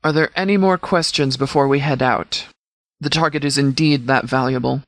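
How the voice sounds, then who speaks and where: A man voicing a stern military commander in a video game, speaking formally and with measured authority as he briefs. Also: mid-range voice, fairly even intonation, fairly bright tone, dry recording, clean studio sound, close to an everyday voice. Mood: businesslike. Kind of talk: scripted game dialogue, mission briefing.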